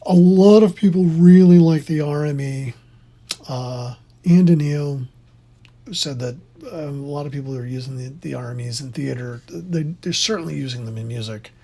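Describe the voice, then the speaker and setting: An instructor of unclear sex giving an informal, opinionated tutorial in a husky, conversational voice that rambles along. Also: mid-range voice, moderate pace, mellow. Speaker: instructor in theater sound.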